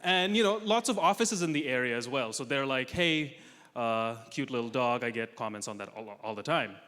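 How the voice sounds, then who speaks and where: A young man from Russia performing a stand-up comedy set, delivering dry, self-mocking punchlines with quick asides. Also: medium pitch, lively intonation, fairly bright tone. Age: young adult. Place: large comedy festival hall with audience. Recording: live hall recording with long reverb.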